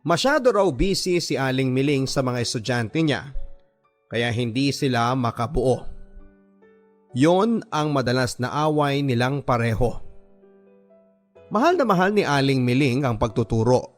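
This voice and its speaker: A man with a Filipino accent reads a first-person story as a radio drama narrator, earnest and sentimental, with deliberate phrasing. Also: mid-range voice, expressive. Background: background music.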